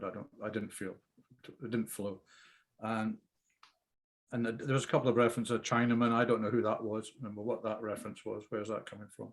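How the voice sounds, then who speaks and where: A man giving informal script feedback in a hesitant, rambling way, often repeating himself. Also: lowish voice. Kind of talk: informal critique discussion.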